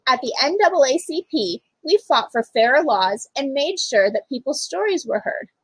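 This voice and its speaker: A woman reading a children's picture book aloud in an expressive, emphatic way with dramatic pauses. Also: high voice, dry recording, low-quality recording. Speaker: storytime reader, literacy program manager.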